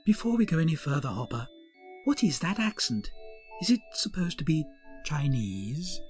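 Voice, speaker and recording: A man's voice: fairly high pitch, big pitch swings, normal speed, faint music behind. A man voicing a pompous, grandiose male starship captain in a comic audio drama.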